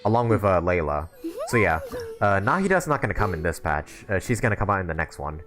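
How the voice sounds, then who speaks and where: Chatty young man streaming, lively low-ish voice. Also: fast talker, over music. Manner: informal, chatty, thinking aloud. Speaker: game streamer. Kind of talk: casual live stream commentary.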